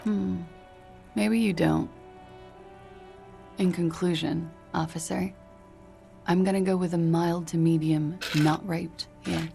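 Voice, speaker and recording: An actress playing a world-weary, evasive woman in a detective video game, dry, laconic and sardonic, with a very creaky voice. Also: fairly low pitch, fairly even intonation, takes it slowly, long pauses, over music, heavily acted. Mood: evasive, wry.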